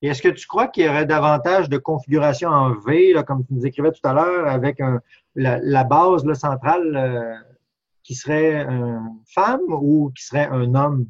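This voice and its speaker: A man's voice: on the high side, normal speed, no echo, muffled recording. A man with a Québécois French accent, a psychologist hosting an interview, asking casual questions with many fillers. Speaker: psychologist host.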